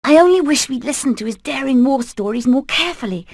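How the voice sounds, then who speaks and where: A British woman playing a determined, plucky hen in an animated video game, speaking in a brisk, earnest, rallying way. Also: high-pitched, no echo, studio quality. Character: determined, plucky female hen leading an escape plan.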